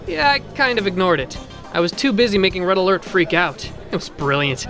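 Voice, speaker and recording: A man's voice: sits high, very animated, brisk, over music. A man playing a chatty, mischievous robot bartender and prankster in an audio drama, flippant and rambling. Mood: gleeful.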